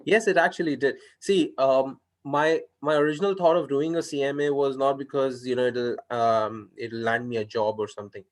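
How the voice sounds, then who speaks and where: A man's voice: medium pitch, steady tone, normal speed, few pauses. Hesitant man from India. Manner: hesitant, many restarts and fillers. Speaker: interviewer. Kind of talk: casual video call conversation.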